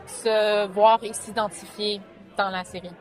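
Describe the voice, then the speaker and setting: A woman's voice: medium pitch, flat delivery, unhurried, faint music behind, poor audio. Woman with Franco-Manitoban accent amid crowd. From Saint-Boniface, Manitoba, Canada. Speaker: series creator and writer interviewed. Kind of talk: casual interview. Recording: busy crowd chatter around her.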